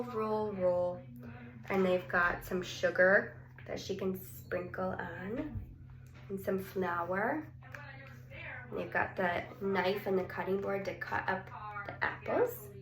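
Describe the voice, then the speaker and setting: A woman reading a picture book aloud to young children, drawing out words and putting questions to her listeners. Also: mid-range voice. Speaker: story reader for young children. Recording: roomy echo, some background noise.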